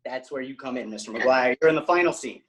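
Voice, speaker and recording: A man's voice: medium pitch, fast, fairly bright tone, natural voice. A man playing an earnest, bossy teenage amateur film director in a sitcom table read over video call.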